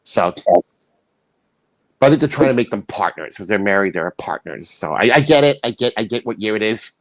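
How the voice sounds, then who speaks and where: A man with a low voice co-hosts a loose livestream chat. He rambles and restarts his sentences often. The sound is close and dry, with no room in it. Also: animated, brisk, no room sound, rough recording. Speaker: podcast co-host.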